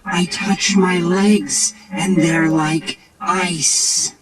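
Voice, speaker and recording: A man voicing the vengeful ghost of a drowned young girl, speaking slowly and hauntingly over music. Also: sits high, steady tone, over a noisy crowd, voice pushed up, low-quality recording. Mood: mournful.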